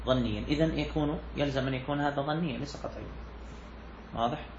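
A man's voice: medium pitch, quick pace, muffled recording, noisy background. A man teaching a class on a classical jurisprudence text, repeating key phrases and putting questions to his students. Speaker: sheikh teaching Islamic jurisprudence. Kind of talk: classroom lecture explaining a text.

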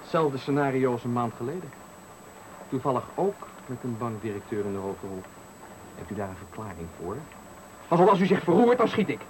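An actor playing a menacing, coolly polite stranger who taunts and gives orders, in radio thriller acting. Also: male voice, mid-range voice, sing-song, brisk, close to an everyday voice. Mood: menacing, commanding. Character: menacing male stranger, sadistic trickster at a bus stop. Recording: noisy 1980 radio play recording.

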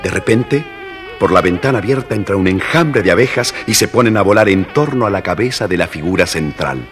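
A man's voice: low voice, expressive, a little fast. A man narrating a scripted children's fairy tale as the storyteller. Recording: reverberant, noisy old story record.

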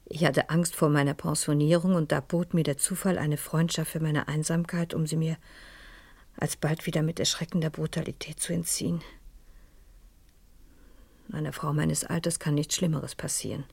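A lonely older lady in a radio mystery, lowish husky voice, shaken, grave, kinda hesitant. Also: little pitch movement, moderate pace, darkish tone, no echo, full character voice. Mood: mournful. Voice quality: husky, breathy. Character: shaken, lonely older widow, genteel spa guest. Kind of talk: German radio crime drama acting and narration.

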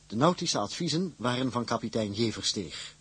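A man's voice: mid-range voice, expressive, moderate pace, a bit bright, breathy edge. A man reading scripted credits formally as a radio announcer.

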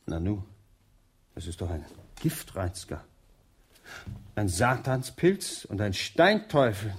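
An actor playing a courteous, dutiful butler in a German radio drama, speaking formally and deferentially. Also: male voice, lowish voice, sing-song, slow, slightly rough, exaggerated voice. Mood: puzzled muttering.

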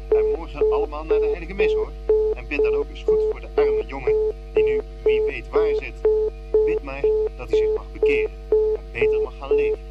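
An actor playing a kindly, earnest religious brother who teaches school and gently admonishes his pupils in a radio play. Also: extremely high pitch, wildly swooping pitch, brisk, over loud music, exaggerated voice. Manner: earnest, admonishing yet encouraging. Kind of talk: Dutch radio play acting. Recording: muffled, very noisy with loud hum.

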